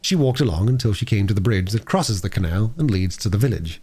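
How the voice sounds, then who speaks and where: A man's voice: lowish voice, expressive, fast talker, mellow, husky. A man reading a storybook aloud as a narrator. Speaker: audiobook reader.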